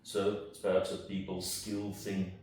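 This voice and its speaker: Deep-voiced man, echoey room. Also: completely flat delivery, darkish tone. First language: Afrikaans. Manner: thoughtful, halting with fillers and restarts. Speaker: interviewed entrepreneur. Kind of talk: reflective interview answers. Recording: roomy, distant mic with room noise.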